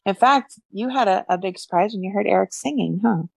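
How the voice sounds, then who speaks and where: A woman's voice: mid-range voice, fast, gravelly, husky, no room sound. A woman co-hosting a podcast in casual chat, upbeat and earnest. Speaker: podcast co-host.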